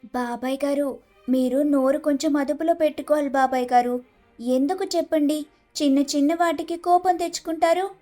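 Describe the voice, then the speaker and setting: Woman doing a sweet polite young daughter in law, really high voice, cartoon moral story. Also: fairly even intonation, moderate pace, soft background music, close to an everyday voice. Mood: gentle, reassuring. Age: young adult. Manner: polite, soft-hearted. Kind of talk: animated moral story dialogue.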